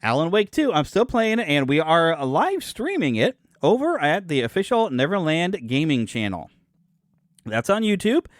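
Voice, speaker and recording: A man's voice: on the high side, big pitch swings, normal speed, dry recording, clean studio sound. Rambling, chatty male podcast host. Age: 40s. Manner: rambling and chatty, loses his thread and corrects himself; self-deprecating and joking. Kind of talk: casual solo podcast chat.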